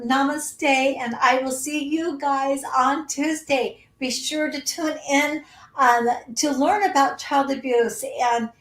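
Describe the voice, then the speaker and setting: A woman's voice: high-pitched, echoey room. A woman hosting her own astrology livestream, chatting casually with many ums and reading out viewer comments while she is losing her voice.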